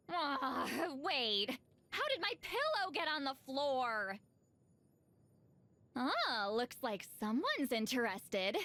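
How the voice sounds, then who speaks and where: A youthful, high-voiced shaman woman from a video game. Her delivery is very animated, swinging up and down in pitch. She sounds proud yet socially awkward, with a hesitant, slightly huffy way of explaining herself. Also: dry recording, exaggerated voice. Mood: flustered. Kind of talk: animated game character voice lines.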